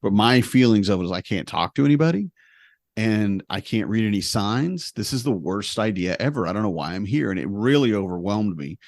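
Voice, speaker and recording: A man telling a travel story in casual conversation, animated and poking fun at himself. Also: on the low side, brisk, dry recording, clean studio sound. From United States. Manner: animated, self-deprecating, humorous storyteller.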